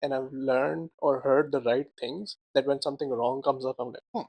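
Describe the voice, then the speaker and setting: A young man co-hosting a casual podcast chat, animated, laughing often and filling his talk with "like" and "right?". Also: medium pitch, moderate pace, creaky, no room sound. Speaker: podcast co-host.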